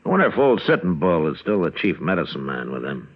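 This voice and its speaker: An actor playing a stern, terse frontier marshal in a 1950s radio western. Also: male voice, low voice, very animated, quick pace, husky, full character voice. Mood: curious, thoughtful. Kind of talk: 1950s radio drama acting. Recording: dull vintage radio recording.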